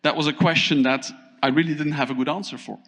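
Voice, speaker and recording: A man from Thailand giving a conference keynote, speaking in an animated way, often turning to the audience with questions. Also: on the high side, fast. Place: large conference hall. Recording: long hall reverb.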